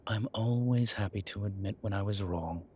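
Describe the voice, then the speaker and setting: A man playing an ancient demon professor who is gruff but contrite and caring, speaking intimately to one listener in a halting, measured, husky voice. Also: darkish tone, slight background noise, natural voice. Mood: wry, philosophical. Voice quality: husky, breathy low voice. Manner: slow, halting, measured delivery with sighs.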